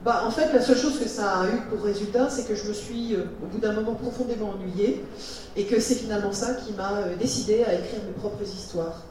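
A woman's voice: mid-range voice, a little fast, a little mellow, slight background noise. A woman interpreting live between English and French, hesitant with many fillers. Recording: echoey hall, far from the mic.

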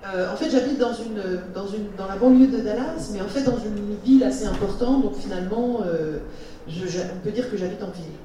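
A woman's voice: mid-range voice, a little fast, dark tone, slight background noise. A woman interpreting live between English and French, hesitant with many fillers. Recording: echoey hall, far from the mic.

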